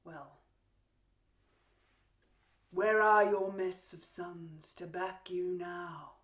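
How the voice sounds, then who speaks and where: Slow, vengeful, gloating warrior queen. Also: lowish voice, steady tone, fairly bright tone. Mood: taunting. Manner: theatrical, taunting, drawn-out pauses. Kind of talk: Shakespearean stage monologue. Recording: roomy, echoing untreated room.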